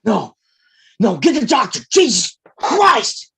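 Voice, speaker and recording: A woman playing an anguished, desperate gunslinger man in a western table read, with a raspy voice and explosive, pleading outbursts. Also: fairly high pitch, wildly swooping pitch, takes it slowly, heavily acted. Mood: frantic. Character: desperate, anguished gunslinger man watching his brother die.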